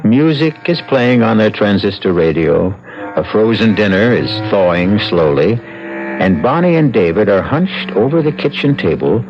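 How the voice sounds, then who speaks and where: A man hosting and narrating an old-time radio drama in a deliberate, wry, theatrical way. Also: on the low side, sing-song. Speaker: host and narrator. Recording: dull vintage radio broadcast. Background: music.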